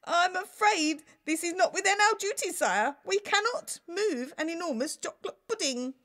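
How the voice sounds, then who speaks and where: A brisk storybook captain of the guards, acted by a woman. He sounds formal and dutiful, with a voice pushed well up in pitch. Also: expressive, a bit bright. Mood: formal, apologetic. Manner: formal military address.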